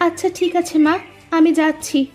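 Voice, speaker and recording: A woman's voice: extremely high pitch, flat delivery, moderate pace, soft background music. A woman voicing a young, put-upon daughter-in-law in a cartoon family drama, plaintive and earnest. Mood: submissive.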